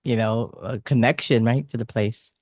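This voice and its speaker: A man hosting a casual music interview, forming his questions haltingly and repeating phrases. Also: mid-range voice, fairly even intonation, no room sound, clean studio sound. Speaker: interviewer, music show host.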